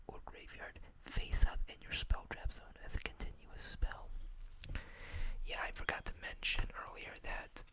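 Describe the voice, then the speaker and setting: An ASMR host speaking in a breathy whisper, reading card text aloud with casual asides in a hushed, deliberate way.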